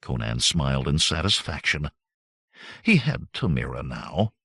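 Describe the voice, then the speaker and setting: A man reading adventure fiction aloud as an audiobook narrator, in measured, expressive storytelling. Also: very deep voice, creaky, slightly rough, no room sound, studio quality.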